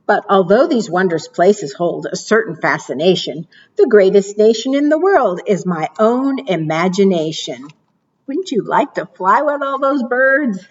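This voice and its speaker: A woman librarian reading picture books and leading rhymes for preschoolers in a slow, encouraging sing-song way. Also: mid-range voice, animated, breathy edge, lo-fi sound. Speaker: children's librarian.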